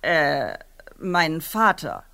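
A woman's voice: sits low, big pitch swings, extremely slow, gravelly, sharp tone, heavily acted. An actress playing a pushy yet flustered female neighbour in a radio play, insistent and hesitant. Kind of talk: German radio play acting.

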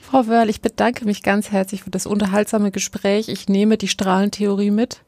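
A woman's voice: mid-range voice, flat delivery, normal speed, dark tone, dry recording, clean studio sound. A woman hosting an interview, asking friendly, polite questions with some fillers. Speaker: interviewer, podcast host.

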